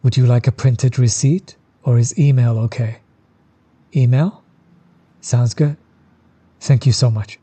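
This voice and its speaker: Man playing a mellow, laid-back tattoo artist. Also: mid-range voice, unhurried, long pauses, husky. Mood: friendly, polite. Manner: relaxed, supportive, explains gently. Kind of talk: audio roleplay, talking to the listener.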